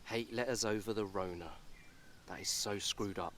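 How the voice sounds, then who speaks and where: An actor playing a blunt, caring friend in a radio soap, talking in a wry, emphatic, colloquial way. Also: male voice, lowish voice, fairly even intonation, normal speed, a bit bright, lightly acted. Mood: earnest, sympathetic. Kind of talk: naturalistic radio soap acting.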